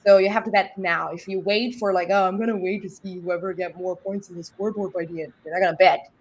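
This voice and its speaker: A woman's voice: on the low side, steady tone, quick pace. A Brazilian woman with a Brazilian accent, a native Portuguese speaker, hosting a casual live stream, laughing often and swearing lightly. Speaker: stream host.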